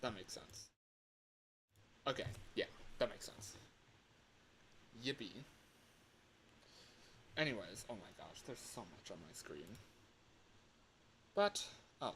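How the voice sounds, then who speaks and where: A man's voice: low-pitched, sing-song, a little slow, pauses often, fairly bright tone, rough recording. A man chatting casually on a livestream as he shows a game he is making, thinking aloud and repeating his phrases. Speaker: livestream host and game developer. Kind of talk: casual livestream chat while demonstrating a game in development. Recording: clear background noise with clicking.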